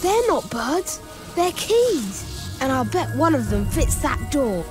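A woman's voice: high voice, very animated, takes it slowly, over music, full character voice. A woman voicing a brave, earnest young boy wizard in a video game. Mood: reassuring.

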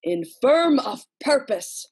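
Steely, scheming noblewoman played by a woman, slow and husky, for an amateur verse drama read-through. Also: high-pitched, big pitch swings, a little mellow, heavily acted. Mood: scolding. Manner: measured, dramatic reading. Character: scheming, steely wife of a murderous lord. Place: small room at home, indoors. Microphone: computer mic on a video call.

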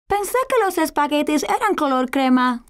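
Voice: sits high, animated, normal speed, fairly bright tone. A performer voicing a curious, cheerful four-year-old boy in a children's cartoon, eager and wide-eyed.

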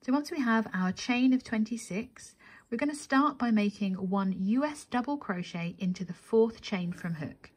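A woman's voice: fairly high pitch, expressive, normal speed, slightly rough. A woman narrating a step-by-step craft tutorial as the instructor, methodical and counting steps aloud.

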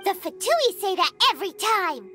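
An actress voicing a tiny, excitable girl fairy companion in a game, with a shrill, chirpy voice and indignant outbursts. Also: normal speed, soft background music, full character voice. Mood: defiant.

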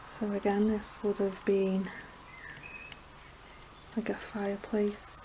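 A woman's voice: medium pitch, monotone, slow, mellow. A woman giving casual, nervous commentary in short remarks as she films an exploring vlog alone. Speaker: urban explorer vlogger. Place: inside a derelict empty house. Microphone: handheld camera microphone. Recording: noisy handheld camera audio.

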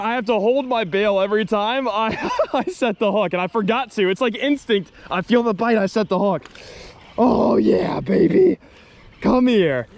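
A young man filming his own fishing trip at an outdoor pond on a body-worn camera, chatting excitedly to viewers and laughing often. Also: sits high. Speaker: fishing vlogger. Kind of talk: casual vlog commentary. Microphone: body-worn action camera.

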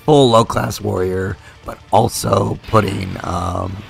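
A man's voice: lowish voice, slow pace, gravelly, slight background noise. A man casually commenting on a mobile game as he plays it, thinking aloud. He is losing his voice from being a little sick. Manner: relaxed, thinks aloud with fillers while playing. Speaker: mobile game video creator.

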